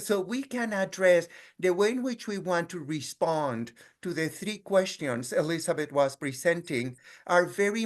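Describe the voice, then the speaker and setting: A middle-aged man with a Colombian Spanish accent, speaking in a measured, earnest way as a guest presenter on a webinar. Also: fairly high pitch. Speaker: guest speaker, clinical social worker.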